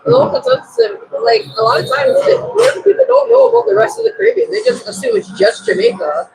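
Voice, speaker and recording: Fast, animated woman, background chatter. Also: extremely high pitch, low-quality recording. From Canada. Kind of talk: casual chat.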